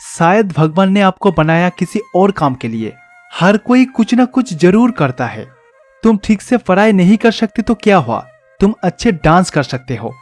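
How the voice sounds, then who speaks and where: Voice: mid-range voice, darkish tone, lightly acted. An actor plays a kindly divine teacher in disguise from a devotional storybook tale. He speaks patiently, advising and encouraging children, with faint music behind him. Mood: gently chiding, encouraging.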